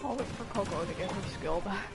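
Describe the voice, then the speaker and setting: A woman streamer talks casually through her gameplay in an everyday medium-pitched voice. Clear game music plays behind her as she comments live. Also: a little fast. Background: video game music.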